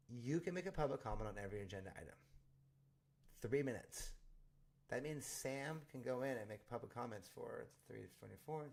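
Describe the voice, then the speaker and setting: A man hosting a casual livestream, pausing meeting footage to comment on it in a chatty, joking way. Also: mid-range voice, creaky. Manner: chatty, joking, fast asides. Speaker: livestream host.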